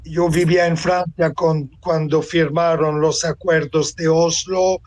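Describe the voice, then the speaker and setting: An elderly man in his seventies, from Tunisia, reflects on his life as a guest. His voice sits a little high, and he speaks slowly, pausing to choose his words. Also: flat delivery, a little mellow. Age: 70s. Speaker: interview guest, author and translator. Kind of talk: reflective personal account in an interview.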